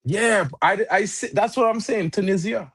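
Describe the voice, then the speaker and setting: Man, football stream host, higher voice, excited live reactions, non-native english speaker. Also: moderate pace, husky. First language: not English. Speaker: watch-along stream host.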